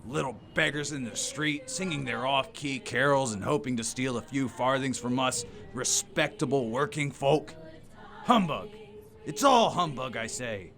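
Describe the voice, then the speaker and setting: An actor in a radio play voicing a grumpy, miserly old businessman, scornful and blustering. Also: mid-range voice. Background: music.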